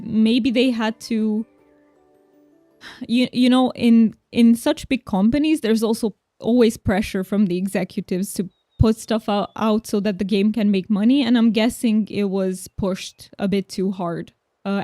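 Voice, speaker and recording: A young woman whose first language is Romanian chats with viewers as she plays, friendly and reassuring, often laughing and restarting her sentences. Her voice is mellow and dry, with soft game music behind. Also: mid-range voice, no echo. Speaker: streamer. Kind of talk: casual game stream chat.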